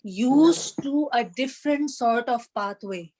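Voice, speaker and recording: A woman with a fairly high voice teaches Arabic grammar to a small online class. She explains step by step, patiently, filling her pauses with "uh" as she searches for the right word. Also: a little mellow.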